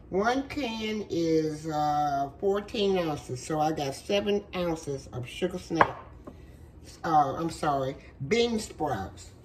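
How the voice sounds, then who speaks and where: A woman walks viewers through a recipe in her home kitchen, speaking slowly in a lowish voice. Her voice is worn from a cold, and she talks in a chatty, folksy way with plenty of fillers. A faint hum of kitchen noise sits behind her. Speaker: cooking show host. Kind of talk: casual cooking demonstration.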